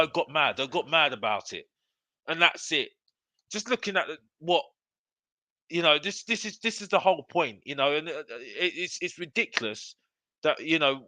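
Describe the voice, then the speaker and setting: A man's voice: on the high side, pushed and bright, dry recording. A man hosting a live football fan show, ranting in an emphatic, repetitive, exasperated way. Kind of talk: live opinionated fan rant to viewers.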